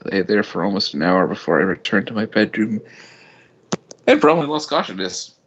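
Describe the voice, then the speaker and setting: A man's voice: lowish voice, very animated, fairly quick, a bit bright, slightly rough, lo-fi sound. A young man giving a jokey, hammy amateur reading of a story. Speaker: amateur fan fiction reader.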